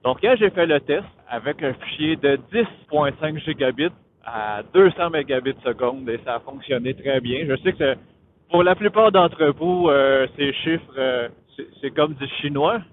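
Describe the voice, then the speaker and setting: A man with a Quebec French accent explaining tech casually and haltingly, as a vlogger thinking aloud. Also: fairly high pitch, animated. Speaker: motovlogger, host of his own channel. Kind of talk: casual tech explainer vlog while riding. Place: on a motorcycle outdoors. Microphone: Bluetooth helmet intercom. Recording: muffled phone-like Bluetooth intercom, riding noise.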